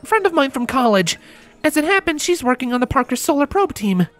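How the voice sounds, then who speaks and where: A man voicing a sceptical, brisk female scientist in charge, with a dry, commanding manner, in a story reading. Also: very high voice, big pitch swings, a bit bright, faint music behind, voice pushed up, full character voice.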